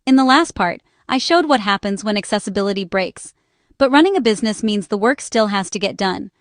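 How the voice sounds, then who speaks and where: A woman's voice: mid-range voice, animated, fairly quick, dry recording, clean studio sound. A woman reading a scripted explainer narration in short, instructional phrases. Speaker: narrator and small business owner explaining accessibility.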